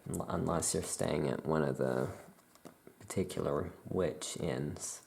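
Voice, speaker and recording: A performer voicing a chatty, musing necromancer witch shopkeeper in a soft-spoken ASMR roleplay, with writing sounds behind. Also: a bass voice, slow pace, gravelly, exaggerated voice. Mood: chatty, amused.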